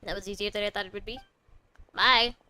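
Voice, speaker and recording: A woman's voice: mid-range voice, little pitch movement, racing, noisy background. A woman doing casual game playthrough commentary, playfully teasing and talking back to the characters on a close microphone. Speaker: game streamer.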